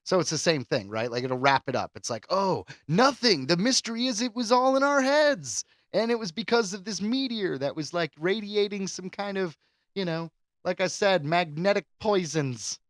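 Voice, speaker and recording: A man's voice: high-pitched, animated, normal speed, a bit bright, no room sound. A man co-hosting a podcast in casual chat, rambling and jokey as he floats speculative ideas. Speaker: podcast co-host.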